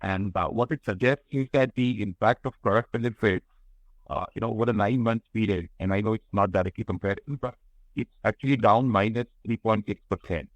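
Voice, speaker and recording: An Indian-accented man, a financial analyst, asking a question on an earnings call in tentative, halting phrases. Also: fairly low pitch, dry recording, low-quality recording.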